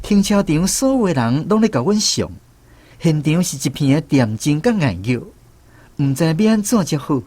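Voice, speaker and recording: An actor playing a reflective former soldier, narrating a radio drama expressively with a Taiwanese accent. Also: male voice, on the high side, normal speed. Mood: tense recounting. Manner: expressive storytelling.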